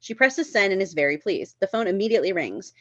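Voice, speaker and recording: A woman's voice: medium pitch, expressive, fast, a bit bright, dry recording, muffled recording. A woman reading stage directions at a live script table read, informal and lightly amused.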